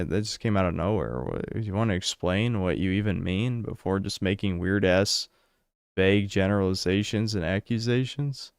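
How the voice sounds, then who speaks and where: A young man from the United States with a low, smooth, resonant voice, reading donation messages aloud and answering chat. He talks bluntly and crudely, with a sarcastic edge. The sound is dry and close, with no room echo. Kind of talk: casual stream chat.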